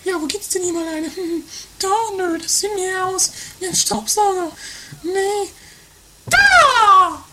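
Woman playing a very high-voiced gleeful schemer. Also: expressive, slow, a little hiss or hum, heavily acted. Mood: sneaky, gleeful. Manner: giggly, muttering, conspiratorial. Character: scheming, gleeful, scatterbrained woman thief muttering to herself. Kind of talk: amateur comedic radio play acting. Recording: loud music under the voice.

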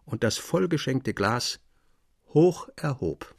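A man's voice: medium pitch, expressive, takes it slowly, slightly rough, no echo, studio quality. A man narrating a literary tale in a scripted, formal reading style.

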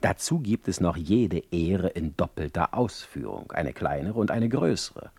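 A man's voice: sits low, expressive, measured pace, gravelly, darkish tone, breathy edge, no echo, heavily acted. An actor playing a brooding, ironic poet in a radio play, speaking an eloquent, theatrical monologue as a letter to his brother. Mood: ironic, reflective.

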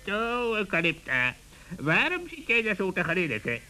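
A man playing a sly, polite forest animal in a children's radio play, with measured, mock-humble courtesy. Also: high voice, huge pitch swings, bright, forceful tone, full character voice. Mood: dry, polite.

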